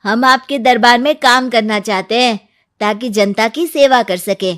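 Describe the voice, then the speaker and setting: A woman's voice: a little high, a little slow, fairly bright tone. A woman voicing eager, cheeky young boys in a storybook tale. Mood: eager, earnest.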